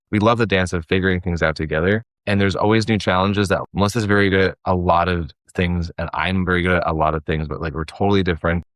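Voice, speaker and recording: A man's voice: sits low, a bit bright, dry recording. A man talking casually as an interview guest, earnest and rambling, with "like" sprinkled through. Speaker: podcast guest, creative producer.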